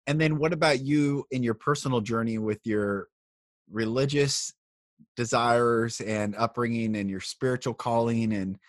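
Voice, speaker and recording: A man hosting a spiritual podcast, speaking earnestly and slowly as he introduces and questions his guest. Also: medium pitch, dry recording, clean studio sound. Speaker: podcast host.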